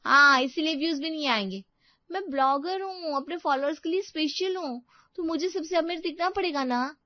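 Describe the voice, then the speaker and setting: A woman voicing an eager, vain and bossy newlywed vlogger in an animated moral story, showy and insistent. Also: high-pitched, moderate pace, dry recording, muffled recording, voice pushed up, lightly acted. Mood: demanding.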